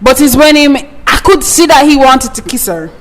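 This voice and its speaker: High-voiced Jamaican woman, blunt chat. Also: animated, moderate pace, low-quality recording. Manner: chatty, blunt, slips into patois. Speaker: podcast co-host. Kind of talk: casual chat.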